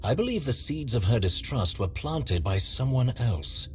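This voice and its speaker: A man playing a loyal Imperial ally in a scripted video game, formal, measured and earnest. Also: medium pitch, lively intonation, a little hiss or hum, natural voice. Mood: confiding. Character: grave, loyal Imperial ally confiding political warnings.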